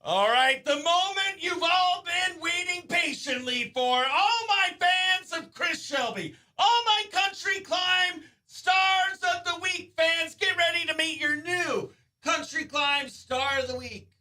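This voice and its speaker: A man's voice: very high voice, slow, hardly any pauses, bright, forceful tone, no room sound. A man hosting a country radio morning show, presenting live and chatting in a hyped, showy, playful announcer's manner.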